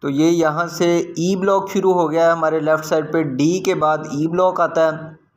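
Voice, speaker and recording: A man's voice: a little high, fairly even intonation, normal speed. A man with a Pakistani accent giving informal, explanatory commentary on a property site visit as a real estate video host.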